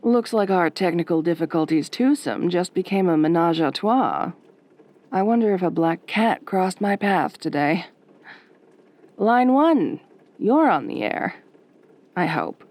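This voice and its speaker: A woman playing a wry, flirtatious late-night radio DJ, soft and velvety, dryly teasing in an audio roleplay. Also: on the low side, lively intonation, a little slow. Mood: drily patient. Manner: dry, teasing, sardonic.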